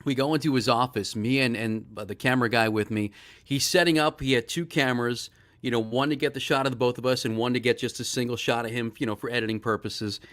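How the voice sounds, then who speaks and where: A middle-aged man, a sports broadcaster guesting on a talk show, telling stories with animated enthusiasm. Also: medium pitch, fast talker. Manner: animated, excited storyteller. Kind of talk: casual interview storytelling.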